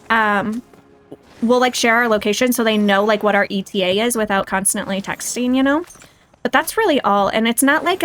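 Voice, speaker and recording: A woman's voice: on the high side, fairly bright tone. A woman hosting a casual game livestream, chatting and thinking aloud in a self-deprecating way. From United States. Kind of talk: casual livestream chat and gameplay commentary.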